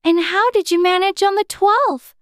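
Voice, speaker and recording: A storybook narrator voicing a curious, polite little girl who is eager, prim and quick to take offence. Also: extremely high pitch, fairly bright tone, no echo, studio quality, voice pushed up.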